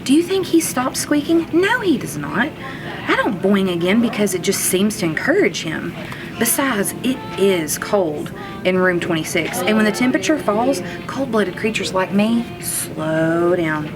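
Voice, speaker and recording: Woman, mid-pitched, teacher-style children's read-aloud, expressive and emphatic, with clear music underneath. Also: poor audio. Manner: expressive, with drawn-out words for emphasis. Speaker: kindergarten teacher reading aloud. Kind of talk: children's storybook read-aloud, first-person narration. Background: background music.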